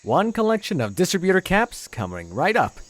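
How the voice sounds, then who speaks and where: An actor playing an eager, apologetic young private in an audio drama, speaking earnestly. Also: male voice, sits high, huge pitch swings, brisk, no echo, exaggerated voice. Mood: chipper. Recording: music behind the voice.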